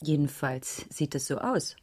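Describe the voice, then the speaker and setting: A woman's voice: deep voice, animated, a little slow, darkish tone, breathy edge, exaggerated voice. A woman playing a concerned schoolteacher under questioning in a radio crime drama, speaking in a careful, reflective, slightly hesitant way. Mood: thoughtful. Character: concerned primary school teacher being questioned, thoughtful and defensive. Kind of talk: 1980s German radio drama dialogue.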